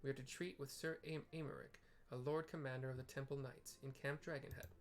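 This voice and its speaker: A man's voice: medium pitch, fairly even intonation, brisk, natural voice. A man voicing an eloquent, courtly young male diplomat from a fantasy game, with formal, wordy phrasing. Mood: persuasive, formal. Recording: clear background noise.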